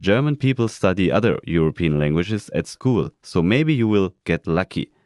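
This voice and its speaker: A man reading words for a language lesson, saying each one twice, slowly and deliberately. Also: low voice, animated, a little mellow, dry recording, clean studio sound. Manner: says each word twice, slow and deliberate. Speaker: German pronunciation speaker. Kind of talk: slow pronunciation drills.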